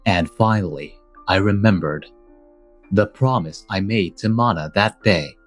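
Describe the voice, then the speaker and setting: Man as troubled manga protagonist, low voice, introspective narration, devoted guardian, soft music behind. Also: measured pace. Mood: hesitant, introspective. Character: conflicted, devoted single guardian man, narrating his story. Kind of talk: first-person manga dub narration.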